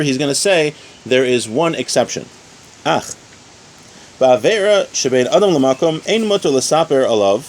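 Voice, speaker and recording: A man giving an informal religious lesson as a teacher, explaining step by step and often rephrasing his points. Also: mid-range voice. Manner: explanatory, repeats and rephrases points with everyday examples. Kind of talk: informal religious lesson, reading source text then explaining.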